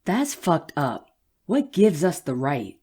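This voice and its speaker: An actress playing an anguished, empathic woman in an audio drama, speaking in a halting, solemn, intense way. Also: sits low, sing-song, warm tone, husky, exaggerated voice. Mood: bitter outrage. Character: anguished empathic woman pitying caged lab animals.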